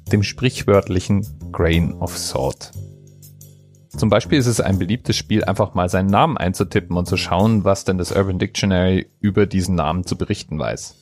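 A man's voice: sits low, dry recording. A man hosting a podcast, explaining things in a casual solo monologue. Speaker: podcast host.

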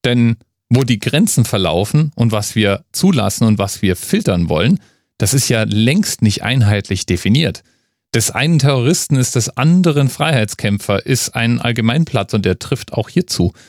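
A man's voice: mid-range voice, a little mellow, no echo, studio quality. A man giving conversational, emphatic opinion commentary straight to the listener, with rhetorical questions. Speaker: host of an online commentary.

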